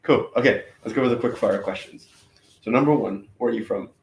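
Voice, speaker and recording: A man's voice: mid-range voice, brisk, vocal fry. A man interviewing a colleague in an easygoing, casual way, often saying "cool" between questions. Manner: easygoing, says "cool" a lot. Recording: echoey room, off-mic, faint noise.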